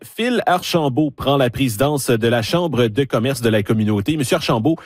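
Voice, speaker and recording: A man's voice: medium pitch, animated, no echo. Male radio host, dry studio sound. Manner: measured, with frequent fillers. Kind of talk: radio interview. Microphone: studio mic.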